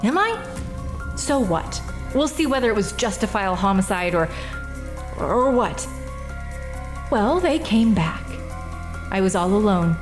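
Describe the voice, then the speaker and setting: A woman's voice: mid-range voice, very animated, over music. A woman playing a bitter, defiant killer. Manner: bitter, defiant, rushed when reliving the fight. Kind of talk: radio drama acting.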